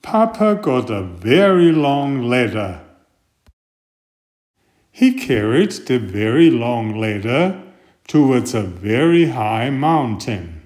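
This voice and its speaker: Slow, animated man reading a picture book. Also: medium pitch. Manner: deliberate, expressive storytelling. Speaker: storybook reader and author. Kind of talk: children's picture book read-aloud.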